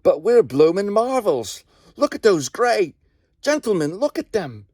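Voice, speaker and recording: A man voicing an astonished British soldier who bellows excitedly in a trench. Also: sits high, unhurried, no room sound, clean studio sound, pitched above the performer's own voice, exaggerated voice. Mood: astonished excitement.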